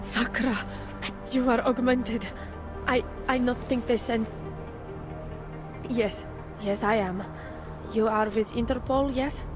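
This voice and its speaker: An actress playing a nervous, guilt-ridden surveillance worker in a video game, with a Czech accent and halting broken English. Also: female voice, fairly high pitch, fairly even intonation. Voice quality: broken English with dropped articles. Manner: halting, jumpy, repeating herself. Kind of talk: video game cutscene acting. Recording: music playing behind the voice.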